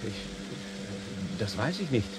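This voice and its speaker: An actor playing an earnest, touchy hobby tinkerer, hesitant and defensive, in a German radio play from around 1970. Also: male voice, lowish voice, big pitch swings, very slow, slight background noise, exaggerated voice. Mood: astonished.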